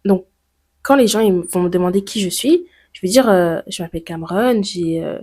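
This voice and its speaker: A woman's voice: on the low side, normal speed, a little mellow. A teenage girl from France, talking to her camera in a personal vlog and thinking aloud with many fillers and rhetorical questions. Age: teens. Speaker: YouTuber.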